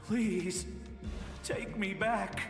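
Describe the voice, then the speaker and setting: A woman voicing a desperate lost soul in a video game, pleading haltingly through a ghostly reverb. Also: medium pitch, fairly even intonation. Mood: pleading, despairing. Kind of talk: English video game voice acting. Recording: echoing hall reverb under music.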